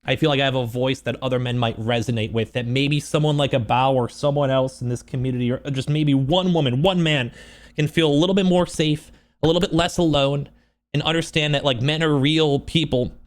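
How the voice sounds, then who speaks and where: A man speaking off the cuff in a personal vlog, upset and close to crying, halting and repeating himself earnestly. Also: mid-range voice, fairly even intonation. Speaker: streamer and video creator. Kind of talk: unscripted personal vlog monologue.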